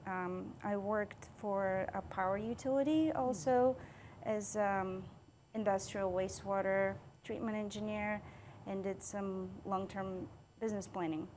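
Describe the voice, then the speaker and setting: A middle-aged woman from Bulgaria, a city engineering manager recounting her career in a podcast interview, upbeat with many "um" pauses. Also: medium pitch, darkish tone, a little hiss or hum. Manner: upbeat, with frequent "um" fillers.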